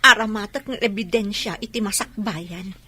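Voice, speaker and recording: A woman playing a hurt, long-suffering wealthy wife in an Ilocano audio drama, with a husky voice. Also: mid-range voice, moderate pace, sharp tone. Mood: restrained, resolute.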